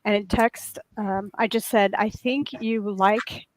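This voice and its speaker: Soft-voiced woman telling a long story. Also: mid-range voice, fairly even intonation, slow pace, no room sound. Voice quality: soft voice that can sound crackly and raw. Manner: earnest, long-winded, indignant. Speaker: panelist. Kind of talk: casual group chat, storytelling.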